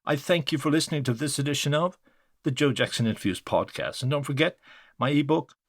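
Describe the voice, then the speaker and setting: A man's voice: fairly high pitch, brisk, no echo, studio quality. A man hosting a podcast, reading a scripted introduction as a journalist and interviewer.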